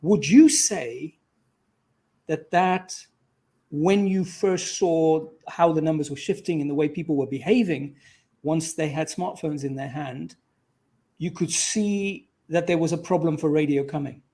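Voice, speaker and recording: A man's voice: on the high side, unhurried, warm tone. A man hosting an interview in a conversational, effusive manner. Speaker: interview host.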